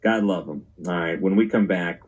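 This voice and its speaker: Deep, husky male radio host. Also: normal speed, darkish tone. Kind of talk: radio talk presenting.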